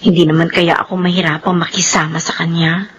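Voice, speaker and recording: A woman's voice: on the low side, level tone, brisk, muffled recording, slight background noise, lightly acted. An actress playing an apprehensive, inquisitive young Filipino girlfriend in a radio soap opera. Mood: worried. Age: young adult.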